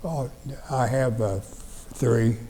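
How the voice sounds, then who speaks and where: A man in his nineties from Ohio, recalling his youth in a slow, halting way with many restarts. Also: medium pitch, mellow, big-room sound, a little hiss or hum. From Ohio, USA. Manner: halting, plain-spoken, frequent restarts and fillers. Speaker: veteran interviewee. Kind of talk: oral history recollection. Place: library room.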